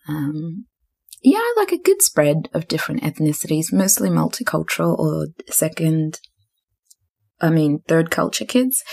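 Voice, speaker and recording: A woman's voice: deep voice, vocal fry, breathy edge. A young woman from Zimbabwe and New Zealand hosting a solo podcast, rambling and thinking aloud with lots of fillers. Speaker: podcast host.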